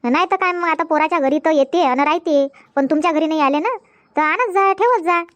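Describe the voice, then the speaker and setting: A woman voicing a young married woman in a comedy cartoon, talking quickly and chattily with a Varhadi Marathi accent. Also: very high voice, no echo, dull audio. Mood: persuading. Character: young wife Megha, also playing Preeti on the phone.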